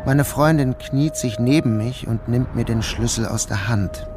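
An actor playing a troubled, dazed man who narrates his own day in a measured, literary radio drama style. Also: mid-range voice, over music, close to an everyday voice. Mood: downcast. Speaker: first-person narrator.

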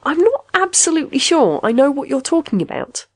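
A woman's voice: medium pitch, big pitch swings, moderate pace, darkish tone, slightly rough, pitched above the performer's own voice. A woman voicing a pugnacious, self-conscious male patient in an audiobook story, with an informal, blurting manner. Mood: puzzled.

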